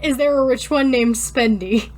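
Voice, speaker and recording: A woman's voice: sits high, moderate pace, a little mellow. A woman co-hosting a podcast in casual, comedic banter. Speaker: podcast co-host.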